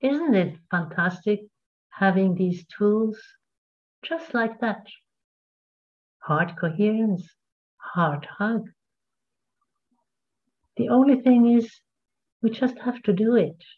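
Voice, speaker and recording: A woman presenting a workshop, guiding the group in a slow, gentle cadence over a video call. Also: mid-range voice, expressive. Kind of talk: guided meditation and group talk. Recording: tinny call audio.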